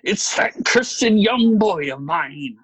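A woman voicing a fussy, excitable man who blusters and mutters as he gets exasperated, in a storybook reading. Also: medium pitch, measured pace, no room sound, voice pushed down. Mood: exasperated, angry. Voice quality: husky, whining. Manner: blustering, muttering, oath-prone.

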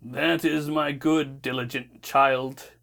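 A woman voicing a stern, commanding father god of light in a storybook, speaking slowly and solemnly. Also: steady tone, gravelly, a bit bright, heavily acted. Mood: pleased. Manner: slow, solemn, booming delivery.

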